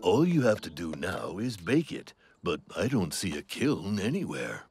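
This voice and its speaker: A man voicing a wise, patient, weary mule in a children's cartoon, measured and politely cautioning. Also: deep voice, very animated, full character voice. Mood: calm, helpful. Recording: music behind the voice.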